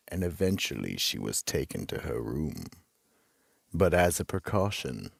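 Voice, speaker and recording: A man's voice: extremely low pitch, creaky, slightly rough, no room sound, studio quality. A man narrating a scripted horror drama in an ominous, dramatic storytelling manner.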